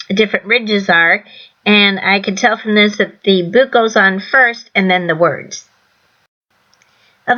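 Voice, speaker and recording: A woman hosting a casual scrapbooking tutorial, slightly hoarse from a sore throat, explaining each step in a chatty way. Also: medium pitch, bright, forceful tone, highs cut off. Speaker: crafting channel host.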